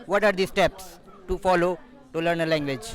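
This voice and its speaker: A man's voice: a little high, moderate pace. A man hosting an interview, asking questions in English and often echoing his guest's words. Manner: frequent short affirmations, echoes the guest's words. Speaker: interviewer, podcast host. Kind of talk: casual interview.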